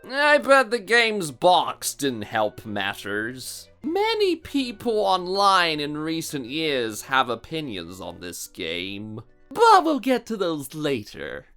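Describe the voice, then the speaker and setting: A man's voice: medium pitch, fairly bright tone. A man hosting a scripted video review in a theatrical, mocking manner. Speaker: host of a video review series.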